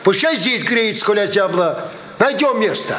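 An actor playing a sly, grasping roadside innkeeper with a mocking, folksy, wheedling manner in a radio play. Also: male voice, sits high, expressive, moderate pace, pushed and bright. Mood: bossy. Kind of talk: theatrical Russian radio drama acting. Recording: dull, echoey, noisy radio play recording.

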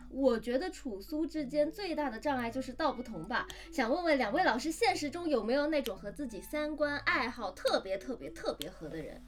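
A woman's voice: high-pitched, expressive, a little fast, hardly any pauses, faint music behind. A woman co-hosting, reading out listener questions in a casual chat.

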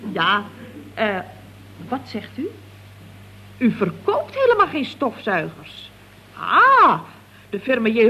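A woman's voice: on the high side, husky. A woman playing a chatty, scatterbrained office worker in 1970s radio comedy, rambling along with giggly, naive earnestness. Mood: rambling, amused. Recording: dull, lo-fi old radio recording.

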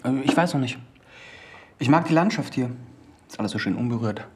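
An actor playing an evasive, uneasy but polite drifter in a naturalistic radio drama, husky and hesitant. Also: male voice, mid-range voice, expressive, brisk. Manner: hesitant, noncommittal.

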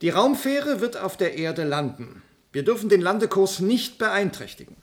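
A man's voice: high-pitched, big pitch swings, normal speed. A man playing an insistent space-centre scientist in a German radio play, delivering alarming technical detail precisely and emphatically. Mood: urgent, insistent.

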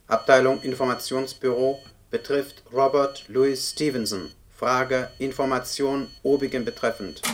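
An actor playing an impersonal computer that reads out data in clipped, mechanical officialese, in a German radio play. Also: male voice, medium pitch, slight background noise, lightly acted. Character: impersonal bureaucratic computer reading out data messages.

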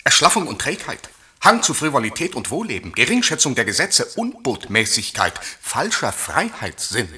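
An actor playing a stern, disapproving seminary official in a radio drama, with a husky, sharp, officious, clipped delivery. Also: male voice, medium pitch, huge pitch swings, moderate pace, far from the mic, exaggerated voice. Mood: disapproving, indignant.

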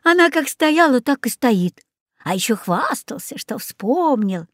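Woman as a snippy, teasing little girl, high-pitched. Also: very animated, a little slow, no echo, studio quality. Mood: mocking, teasing. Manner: mocking, needling.

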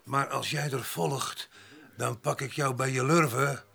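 An actor playing a rough, jealous ex-convict husband in a radio drama, coarse, domineering and mocking, with a gravelly voice. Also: male voice, mid-range voice, fairly even intonation, unhurried, bright, forceful tone, a little hiss or hum, full character voice. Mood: threatening.

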